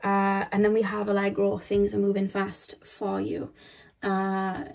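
A young woman giving a casual tarot reading, rambling and repeating herself, her voice a little croaky. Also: medium pitch, monotone, unhurried, a little mellow. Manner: chatty and rambling, repeats phrases, many fillers. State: slightly croaky voice. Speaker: tarot card reader. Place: indoors at a table, camera overhead.

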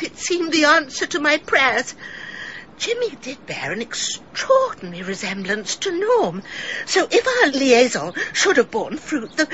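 A genteel, middle-aged English woman pouring out a confession with wistful melodrama. Her voice is high and very bright, in theatrical radio-play style. The recording is slightly dull. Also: a little hiss or hum, heavily acted. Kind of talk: British radio drama acting.

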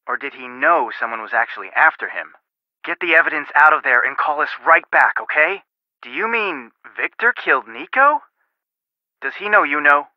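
An actor playing a helpful, wry young amateur detective giving earnest advice in a video game. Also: male voice, mid-range voice, no echo, highs cut off, lightly acted. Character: helpful, sharp young male amateur detective advising by phone.

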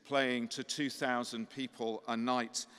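A middle-aged clergyman, his voice a little croaky, giving a measured, courteous welcome address from a lectern in a large cathedral. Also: medium pitch, little pitch movement. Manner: measured, courteous, gently humorous. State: slightly croaky voice. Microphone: lectern microphone. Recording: long cathedral reverb.

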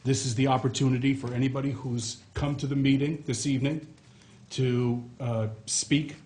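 A middle-aged man chairing a town board meeting in a measured way, with many pauses and fillers. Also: mid-range voice, little pitch movement, a little mellow. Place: town hall meeting room.

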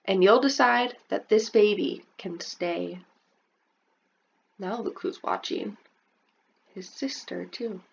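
Slow, expressive woman reading a picture book. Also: vocal fry, breathy edge, dull audio. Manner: expressive, pausing to ask questions about the pictures; raises her pitch for the children's lines in the story. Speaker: preschool teacher. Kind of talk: picture book read-aloud to children.

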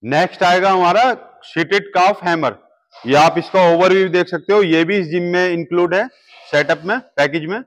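A man in his thirties with a fairly high voice walks through a large indoor warehouse, explaining each gym machine in a steady sales manner. He is recorded on a lapel mic as he points out the features. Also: normal speed. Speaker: gym equipment seller. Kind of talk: product walkthrough.

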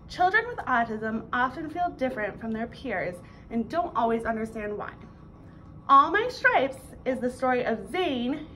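A young woman reads a children's book aloud in a fairly high voice. She is recorded in a small indoor room with faint noise behind her. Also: a bit bright, poor audio. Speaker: school staff member presenting a read-aloud.

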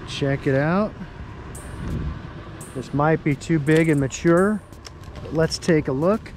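A man's voice: fairly high pitch, takes it slowly, a little mellow, a little hiss or hum. A man in his forties filming an outdoor camping vlog, narrating and coaching with enthusiasm at a lake and campsite. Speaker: vlogger. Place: outdoors at a forest lakeside and campsite.

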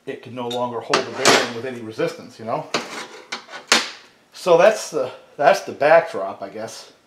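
A middle-aged man casually explaining repair steps at his workbench, in a relaxed, folksy way while handling tools. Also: medium pitch. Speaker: small engine repair channel host. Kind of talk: casual workshop demonstration. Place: cluttered home workshop at a workbench. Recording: echoey workshop with loud tool noise.

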